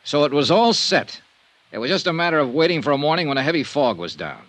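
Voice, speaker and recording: Cynical American radio detective, animated pitch. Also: male voice, fast talker, no echo. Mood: accusing, sardonic. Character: cynical, world-weary hard-boiled male insurance investigator. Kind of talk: old-time radio detective drama, noir narration and dialogue. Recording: vintage radio recording, faint music.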